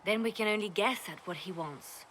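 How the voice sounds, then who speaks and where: An actress playing a devout, guarded spymaster woman in a game cutscene, bitter and intense, speaking deliberately. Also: medium pitch, background noise, close to an everyday voice. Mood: bitter grief. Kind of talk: dramatic game cutscene acting.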